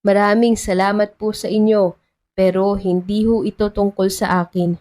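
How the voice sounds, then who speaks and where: A woman voicing a benevolent male mayor giving a formal, measured public speech in an audio love story. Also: mid-range voice, level tone, dark tone, lightly acted. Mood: humble, earnest.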